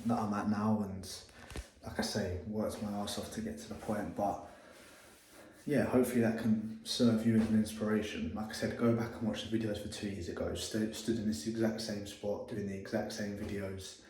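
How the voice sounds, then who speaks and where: A man, talking in a casual, motivational vlog, excitable and swearing often, repeating himself. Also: on the low side, reverberant, poor audio. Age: 20s. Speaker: agency owner vlogging. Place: same room as his earlier videos.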